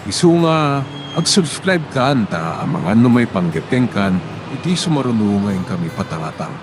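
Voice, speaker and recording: A man's voice: mid-range voice, very animated, normal speed, noisy background. A man giving a scripted promotional narration as the host. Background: prominent music.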